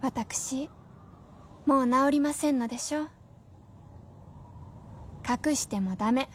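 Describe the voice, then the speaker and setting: High-voiced genteel sick wife. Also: female voice, takes it slowly, slight background noise. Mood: serene, resolved. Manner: genteel, old-fashioned polite feminine speech. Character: gravely ill devoted wife, serenely eager for experiment. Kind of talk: radio drama acting.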